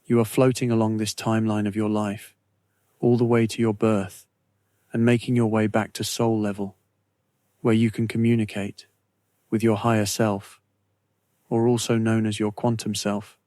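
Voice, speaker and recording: A man narrating a scripted guided meditation in a slow, measured, soothing way with long pauses. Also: lowish voice, little pitch movement, dark tone, dry recording.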